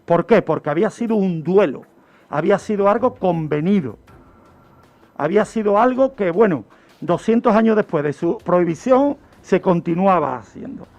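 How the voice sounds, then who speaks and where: A man's voice: high voice, expressive, normal speed, a little mellow. A man tells true-crime history on the radio, emphatic and explanatory, asking his own questions as he goes. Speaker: criminologist, regular true-crime narrator on a radio show. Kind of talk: storytelling radio commentary. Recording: dull lo-fi radio audio with music.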